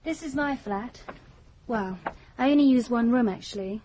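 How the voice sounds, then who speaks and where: An actress playing an intense, secretive young British woman in a radio drama, cool, deliberate and self-assured. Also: a little high, dark tone, slight background noise, lightly acted. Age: young adult.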